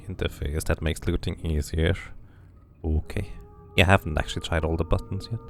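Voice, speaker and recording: Male game streamer, low and gravelly, musing through a playthrough and reading text aloud over faint music. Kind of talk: casual game commentary mixed with read-aloud item text.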